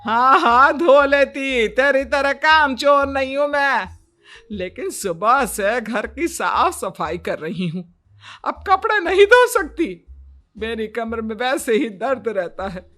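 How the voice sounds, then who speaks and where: A woman's voice: high-pitched, expressive, slow pace, sharp tone, soft background music, full character voice. A woman voicing a scolding, sarcastic older mother-in-law who taunts and grumbles in a cartoon moral story. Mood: scolding, aggrieved.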